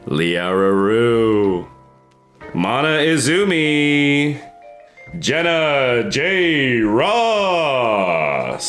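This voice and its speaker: A man playing a grandiose beauty pageant crooner in a comedy bit, drawing words out slowly and half singing over music. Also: medium pitch, a bit bright, reverberant, heavily acted. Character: grandiose male beauty pageant crooner reciting a list of names. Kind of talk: slow celebratory announcing over music, half sung.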